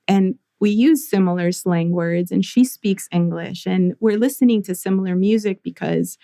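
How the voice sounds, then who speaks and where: A young adult woman with a low, gravelly voice and a mellow tone talks through her ideas in a thoughtful, measured way, now and then starting a phrase over. She sounds like an interview guest on a podcast mic in a dry, close space. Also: dry recording. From United States. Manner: thoughtful, measured, with frequent restarts. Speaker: guest, therapist and artist. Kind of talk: reflective interview conversation.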